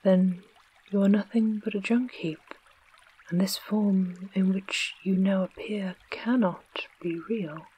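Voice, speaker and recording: A reader voicing a fat old gnome king in a hushed whisper, read slowly. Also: medium pitch, steady tone, husky, muffled recording. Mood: trembling.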